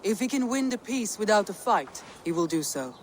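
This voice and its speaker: An actress playing a serious, assured Viking war leader in a video game, with a formal, matter-of-fact manner. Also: female voice, mid-range voice, big pitch swings, moderate pace, a little hiss or hum, natural voice. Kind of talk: video game dialogue acting.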